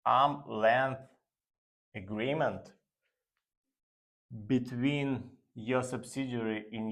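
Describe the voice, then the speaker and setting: Slow, halting male finance instructor. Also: medium pitch. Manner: halting, deliberate delivery in short phrases. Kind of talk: explanatory lecture.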